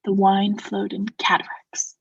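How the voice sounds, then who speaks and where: A young woman playing a coldly vengeful noble who feigns friendship, in a measured, formal, insinuating theatrical radio-play style. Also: mid-range voice, completely flat delivery, creaky, breathy edge, dry recording, lo-fi sound. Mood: sly recounting. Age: young adult. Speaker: student actor.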